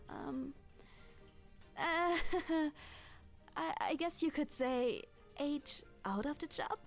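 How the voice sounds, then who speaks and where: High-voiced, kindly German dragoness baker, acted by a woman. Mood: sheepish, amused. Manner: gentle, apologetic, formal phrasing with halting hesitations and chuckles. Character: kind, clumsy, centuries-old dragoness baker with a hoard-free conscience. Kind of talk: ASMR roleplay, in-character monologue to the listener. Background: music.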